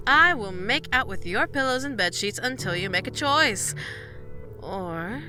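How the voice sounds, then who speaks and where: Woman voicing a slow, teasing she-devil. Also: fairly high pitch, animated, over music. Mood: taunting. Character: seductive taunting female she-devil.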